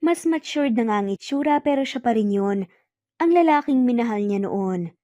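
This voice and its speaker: A woman's voice: a little high, lively intonation, moderate pace, mellow, no echo, dull audio. A woman reading scripted dramatic narration as a storyteller, close to the microphone.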